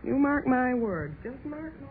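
Stern nagging mother, sweeping pitch. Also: big pitch swings, a little slow. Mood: scornful warning. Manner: admonishing, emphatic, repetitive. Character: stern, scornful, nagging mother of a grown daughter. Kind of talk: 1930s radio drama acting. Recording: muffled, noisy 1930s radio recording.

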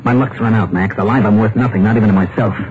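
An actor playing a desperate, ruined middle-aged husband who also narrates, in a 1950s radio drama. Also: male voice, fairly low pitch, fairly even intonation, fast, a little hiss or hum, natural voice. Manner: earnest, strained. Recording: tinny old radio broadcast with music.

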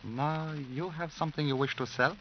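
A male crime boss, played by a radio actor, speaks in a fairly high voice with deliberate, silky politeness and quiet menace. The mid-century drama recording is muffled, with faint noise. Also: close to an everyday voice. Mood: coolly menacing. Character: suave, shrewd Chinese-Irish crime boss in a border club. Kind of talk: 1950s radio drama acting. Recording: dull, noisy vintage radio recording.

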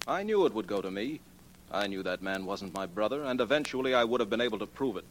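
Guy as emphatic defensive suspect in old radio mystery, lowish voice that swings a lot. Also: expressive, fast talker, natural voice. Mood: indignant. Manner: emphatic, protesting. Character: restless, defensive wealthy heir, a male suspect. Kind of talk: 1940s radio acting. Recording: noisy old 1940s radio recording.

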